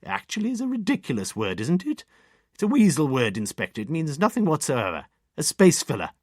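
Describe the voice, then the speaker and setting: A man's voice: fairly high pitch, wildly swooping pitch, a little fast, a little mellow, no room sound, clean studio sound, voice pushed up, heavily acted. A man voicing an elderly, deaf, retired head nurse who lectures precisely and primly. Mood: tart, lecturing.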